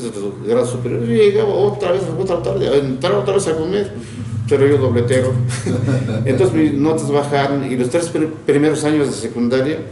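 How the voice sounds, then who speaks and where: An older man from Mexico, a retired teacher, recalling his childhood in an interview, reflective and often laughing, with halting restarts. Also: a little high, dark tone, echoey room, a little hiss or hum. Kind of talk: recounting childhood memories.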